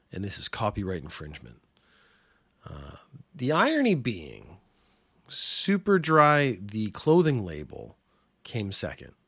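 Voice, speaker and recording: A man's voice: mid-range voice, fairly bright tone, no echo. A man hosting a small podcast alone, talking in a rambling, sarcastic, opinionated way. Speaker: podcast host. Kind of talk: casual solo podcast rant.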